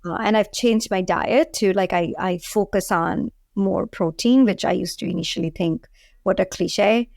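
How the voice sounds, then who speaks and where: A woman's voice: mid-range voice, normal speed, vocal fry, a little mellow, no echo, clean studio sound. A woman in her forties from India, with an Indian accent, talking casually as an interview guest. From Delhi, India. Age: 40s. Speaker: podcast guest, food entrepreneur.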